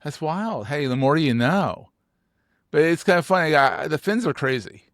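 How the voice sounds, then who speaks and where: A man with a Philadelphia accent hosting a podcast interview, talking in an animated, joking way with emphatic repeats. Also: on the high side, normal speed, no echo, clean studio sound. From United States. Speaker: podcast host. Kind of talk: casual interview chat.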